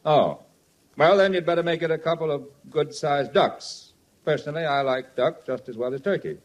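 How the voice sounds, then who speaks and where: A man's voice: fairly high pitch, normal speed, close to an everyday voice. An actor playing a middle-aged American father in old-time radio comedy, formal, reasonable and easily exasperated. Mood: reasonable, courteous. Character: middle-aged suburban father, reasonable but increasingly indignant.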